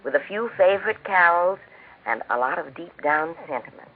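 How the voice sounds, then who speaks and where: Genteel American radio homemaker, tinny vintage sound. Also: female voice, on the low side, lively intonation, slightly rough. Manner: genteel, measured, instructive. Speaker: radio cooking show host. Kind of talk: 1940s scripted radio homemaking talk. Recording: tinny band-limited 1940s radio recording.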